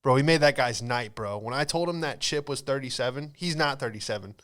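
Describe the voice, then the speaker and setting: Young american man, late twenties, podcast host, casual slang, rambling comic stories, middle-pitched voice. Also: a little fast, a bit bright, clear, resonant tone. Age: late 20s. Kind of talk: comedic storytelling.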